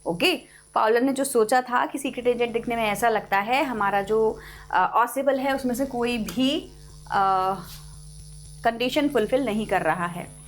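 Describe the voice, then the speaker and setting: A young Indian woman teaching an English class online, explaining in Hindi mixed with English and reading English passages slowly. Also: fairly high pitch, faint music behind.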